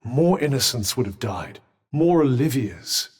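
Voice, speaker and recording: An actor voicing a sardonic, erudite magical talking cuff in a video game, with a British accent, wry and articulate in a pompous way, with a husky voice. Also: male voice, mid-range voice, sing-song, unhurried, darkish tone. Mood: grave, measured. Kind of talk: video game character dialogue.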